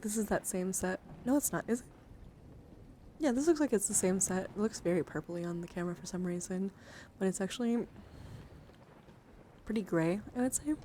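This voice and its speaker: A woman livestreamer chatting casually in an ASMR style, halting and rambling as she thinks aloud. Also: mid-range voice, mellow, a little hiss or hum. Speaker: livestreamer showing a dice collection. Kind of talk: casual ASMR chat to viewers.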